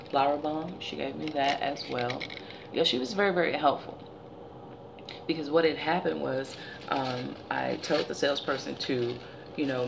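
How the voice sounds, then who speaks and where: A woman in her forties with a deep, gravelly, tired-sounding voice, chatting casually as a vlogger in her living room. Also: background noise. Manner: chatty, animated storytelling. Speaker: beauty vlogger. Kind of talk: casual product haul chat. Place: living room by window blinds, indoors.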